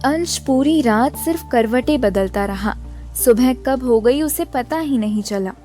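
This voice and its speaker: Casting: female story narrator, fairly high voice, scripted drama delivery, some music beneath. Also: lively intonation, measured pace, mellow.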